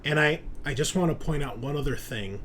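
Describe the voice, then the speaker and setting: A man's voice: fairly high pitch, expressive, brisk, a bit bright. Man game-mastering, faint background noise. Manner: casual, conversational, swears. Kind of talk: improvised narration of a tabletop horror game.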